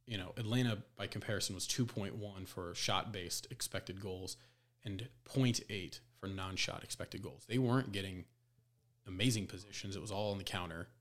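A man's voice: low-pitched, normal speed. A man giving casual soccer analysis as a podcast panelist.

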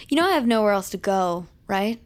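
An actress playing a defiant, anxious young woman in an audio drama, hesitant and emotional. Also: mid-range voice. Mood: defensive, weary. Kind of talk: audio fiction drama acting.

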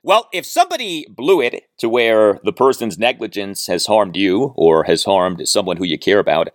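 A man hosting a sports podcast solo, speaking in emphatic, deliberate phrases with heavily stressed words. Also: fairly low pitch, fairly bright tone, no room sound, clean studio sound. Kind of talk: sports commentary monologue with read-out listener mail and ad reads.

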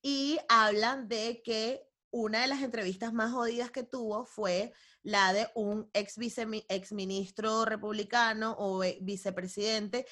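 A woman hosting a podcast, talking in a casual, animated and emphatic way. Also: mid-range voice. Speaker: podcast host. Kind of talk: casual conversational interview.

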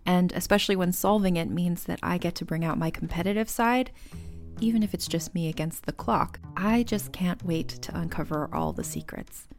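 A woman's voice: lowish voice, sing-song, normal speed, faint music behind. A woman reading a game advertisement in an upbeat, conversational way. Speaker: ad narrator. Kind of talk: scripted ad read.

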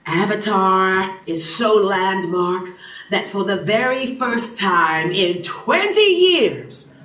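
Female Broadway co-producer: exasperated, sardonic, very animated but unhurried, heard from a distance in a roomy space. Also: mid-range voice. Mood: bright, presenting. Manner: sardonic, emphatic. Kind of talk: scripted audio comedy acting. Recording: roomy, distant, music and crowd behind.

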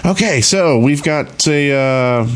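A man's voice: a little high, expressive, slow, a little hiss or hum. Joking, informal male podcast co-host. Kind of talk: casual gaming podcast chat.

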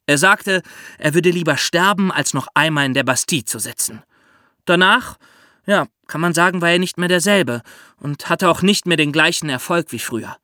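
A man's voice: a little high, moderate pace, sharp tone, no room sound, studio quality. A man hosting a podcast, telling history in a conversational storytelling style. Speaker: podcast host.

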